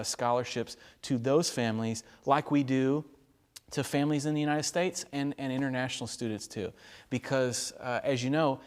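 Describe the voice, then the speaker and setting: A man's voice: mid-range voice, dry recording. A man hosting a charity telethon, making earnest, upbeat appeals for donations with frequent uhs and restarts. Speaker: fundraiser host.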